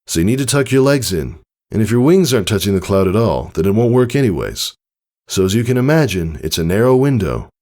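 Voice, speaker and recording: A man's voice: on the low side, sing-song, a little fast, darkish tone, voice pushed up. Man playing an earnest, patient pegasus dad. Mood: instructive. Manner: earnest, instructional, encouraging. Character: caring, patient adult male pegasus father coaching his child. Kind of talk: dramatized storybook character reading.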